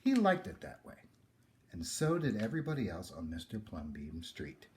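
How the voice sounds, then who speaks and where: A man reading a children's picture book aloud in a theatrical way, with dramatic pauses. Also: deep voice, wildly swooping pitch, darkish tone, a little hiss or hum. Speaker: story reader.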